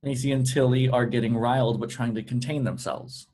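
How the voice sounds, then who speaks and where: A man's voice: medium pitch, fairly even intonation, fairly quick, darkish tone. A man with a mid-range voice serves as narrator at a script table read. He reads out stage directions as scripted narration.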